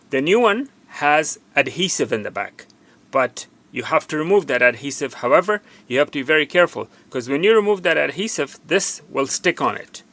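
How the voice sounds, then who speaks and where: Gravelly, bright-voiced man giving repair instructions. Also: fairly high pitch. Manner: instructive, repetitive, cautioning. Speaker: tutorial host, repair technician. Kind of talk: unscripted hands-on repair demonstration.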